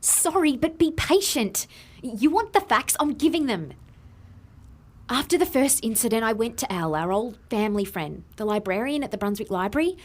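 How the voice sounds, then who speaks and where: An Australian actress playing a guilty, defensive inventor who earnestly explains herself in an audio drama. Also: female voice, a little high, animated, normal speed. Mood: impatient.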